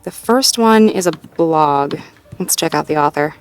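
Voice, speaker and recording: Girlfriend role, female performer: lowish voice, supportive and playful, naturalistic intimate roleplay delivery, soft music behind. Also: measured pace. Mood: playful, amused. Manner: gentle, encouraging, lightly teasing, natural hesitations and chuckles. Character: supportive, playful girlfriend helping partner problem-solve. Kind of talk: intimate roleplay audio, one-sided conversation.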